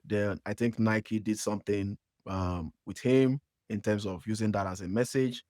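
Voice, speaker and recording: A man's voice: on the low side, steady tone, moderate pace, a little mellow, no echo, clean studio sound. Nigerian-accented man hosting a podcast. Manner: hesitant, many fillers and restarts when asking questions. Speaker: podcast host. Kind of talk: conversational interview.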